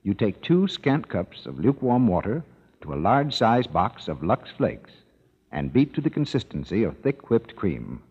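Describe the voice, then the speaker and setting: 1930s radio announcer, husky and lively, muffled broadcast from a reverberant hall. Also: male voice, lowish voice, moderate pace. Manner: smooth, persuasive, instructive. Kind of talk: 1930s radio commercial reading.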